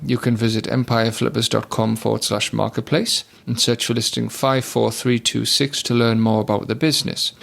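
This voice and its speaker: A man's voice: medium pitch, creaky, fairly bright tone. A man hosting a business podcast interview, upbeat and reading out listing details. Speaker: podcast host.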